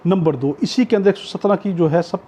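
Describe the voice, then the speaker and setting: A man's voice: high voice, brisk, mellow, husky. A man with a Pakistani accent giving an explanatory law lecture for exam students, repeating key points and often checking that listeners follow. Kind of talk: exam-prep law lecture with worked examples. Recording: dull lo-fi audio.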